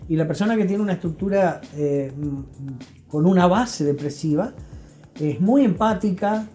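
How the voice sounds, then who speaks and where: A man's voice: sits high, expressive, a little slow, warm tone. An Argentine man explaining didactically as an interview guest, often checking in with short tag questions. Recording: faint music behind the voice. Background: music.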